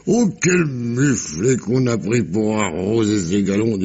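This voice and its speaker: An actor playing a rough, tipsy sailor spinning a sea yarn in slangy, rambling, halting speech, in 1950s French radio mystery style. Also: male voice, lowish voice, animated, mellow, highs cut off, slight background noise. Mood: excited storytelling.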